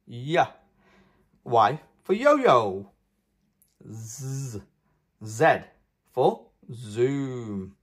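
Male children's read-aloud host: animated, husky, emphatic and sing-song for a toddler audience. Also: medium pitch, drawn-out words, long pauses.

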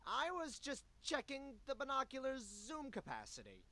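A man's voice: very high-pitched, huge pitch swings, normal speed, sharp tone, heavily acted. A man voicing a small, whiny slacker animal in his twenties, slangy and complaining, for a cartoon adventure game. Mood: whiny, protesting. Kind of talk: voiced game dialogue.

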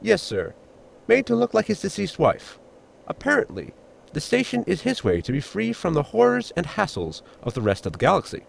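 An actor playing a dry, dutiful ship robot servant with a formal, precise, deadpan manner in a sci-fi comedy audio drama. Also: male voice, sits high, normal speed, vocal fry, full character voice.

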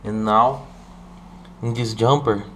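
A man from Brazil with a Brazilian Portuguese accent, walking through a hardware setup in halting English, stopping often to ask "okay?". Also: lowish voice, steady tone, a little hiss or hum. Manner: halting, with many "uh" and "okay?" checks. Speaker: hobbyist showing a hardware setup. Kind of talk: hands-on tutorial walkthrough. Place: indoor room at a desk.

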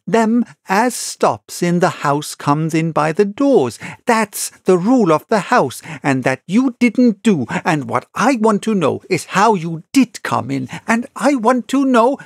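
A man's voice: high voice, no echo, clean studio sound, pitched above the performer's own voice, heavily acted. Man voicing an indignant, scolding country landlady. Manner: rustic ungrammatical speech, scolding and insistent. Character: indignant, nosy, plain-spoken country innkeeper woman.

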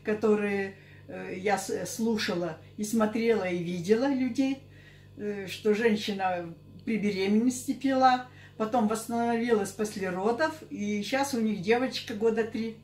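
A woman's voice: mid-range voice, normal speed, a little mellow. Older woman in an echoey room. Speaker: interviewee giving a product testimonial. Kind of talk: unscripted interview answers. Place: small curtained indoor office. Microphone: camera a couple of metres away.

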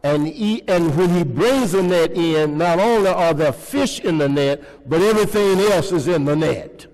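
Older pastor preaching slowly in a church. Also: male voice, on the high side, darkish tone. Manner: preaching with call-and-response questions to the congregation. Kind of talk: sermon. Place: large church sanctuary, indoors. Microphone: pulpit microphone. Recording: long reverb of a church hall.

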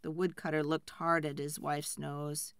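A woman reading a children's storybook aloud in an expressive, deliberate storytelling style. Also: deep voice, clear, resonant tone, no room sound, clean studio sound.

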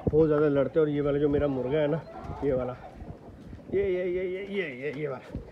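A young man vlogging his poultry yard on a phone held at arm's length, chatting and calling out loudly to his birds. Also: on the high side, a little fast. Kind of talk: casual selfie vlog. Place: rooftop poultry yard outdoors, light rain. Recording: dull phone audio with outdoor noise.

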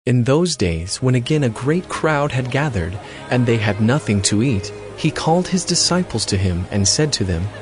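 A man's voice: medium pitch, sing-song, normal speed, few pauses, music in the background. A man narrating a dramatized scripture reading as a scripted narrator.

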